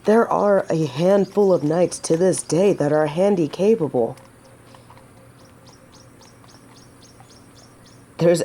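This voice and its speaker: A woman's voice: on the low side, dark tone. A woman playing a gallant, teasing yet earnest female knight in a romantic fantasy audio drama, with formal, old-fashioned phrasing. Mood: gentle, cautious.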